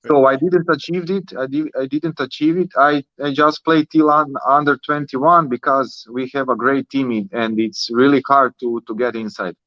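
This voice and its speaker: A man in his early thirties with a Croatian accent, speaking casually in halting non-native English as a podcast guest, repeating and rephrasing himself. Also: medium pitch, fairly even intonation. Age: early 30s. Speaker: guest, professional footballer. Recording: roomy, slightly dull sound.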